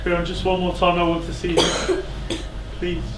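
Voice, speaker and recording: A man on stage playing an anguished, self-loathing fallen king in a classical tragedy, declaiming in halting, pleading phrases. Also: high-pitched, monotone. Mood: tender pleading. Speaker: stage actor. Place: indoor theatre stage. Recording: echoey theatre, distant microphone, faint noise.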